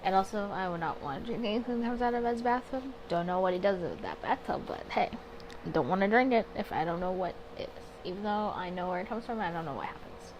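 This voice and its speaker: Chatty woman gamer, clearly noisy recording. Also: medium pitch, fast. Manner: chatty, expressive, reacting and reasoning aloud. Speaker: gaming video creator. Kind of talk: casual playthrough commentary mixed with reading story text aloud.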